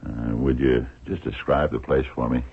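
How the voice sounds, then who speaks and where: A dogged blind sleuth in a radio play, super low gravelly voice, hesitant probing, thin phone-like sound. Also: male voice, steady tone, moderate pace, exaggerated voice. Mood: musing, intent. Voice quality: gravelly, husky. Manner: hesitant, probing, murmured questioning. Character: shrewd, dogged blind man investigating a murder. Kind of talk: radio drama acting.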